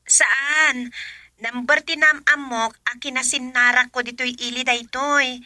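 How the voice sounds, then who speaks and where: An actress playing a brisk, persuasive young adult daughter talking to her mother in a radio comedy drama. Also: high-pitched, steady tone, sharp tone, dry recording, heavily acted. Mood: asking.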